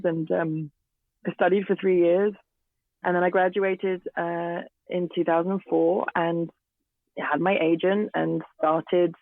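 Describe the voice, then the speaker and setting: A woman in her late thirties with an English accent, a guest actor, talking casually from a garden shed. Her voice is hoarse from a recent illness, and she hesitates, with many ums and restarts. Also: fairly low pitch, dry recording. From England. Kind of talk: casual interview chat. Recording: tinny phone-like remote line.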